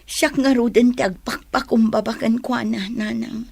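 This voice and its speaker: A woman's voice: fairly high pitch, steady tone, normal speed, creaky, a little hiss or hum. A woman with a Filipino accent playing a meek, apologetic young girlfriend who talks pleadingly, in a radio drama. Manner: pleading, submissive.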